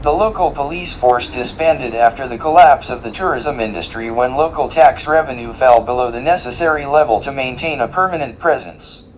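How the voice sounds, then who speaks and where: A man playing a polite, literal-minded robot guide in an audio drama, speaking in a formal, precise and deadpan way. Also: mid-range voice, moderate pace, hardly any pauses, slight background noise, lightly acted, low-quality recording. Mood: factual, deadpan.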